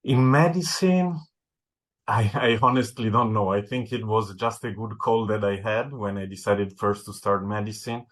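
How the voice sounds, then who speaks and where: A man with an Italian accent and a fairly low voice, answering as a doctor being interviewed. He speaks thoughtfully and often repeats a word while he finds the next one. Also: moderate pace. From Italy. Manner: thoughtful, often repeating words as he searches for them. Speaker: medical director, neurologist. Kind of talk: interview guest.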